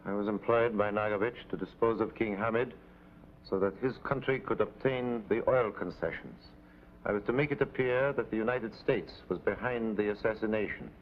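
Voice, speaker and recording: An actor playing a smug, calculating hired assassin in a 1950s TV drama, speaking in a formal, haughty, precise way. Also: male voice, on the low side, normal speed, lightly acted. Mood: smug confession. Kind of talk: 1950s television drama acting. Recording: dull, noisy old TV soundtrack.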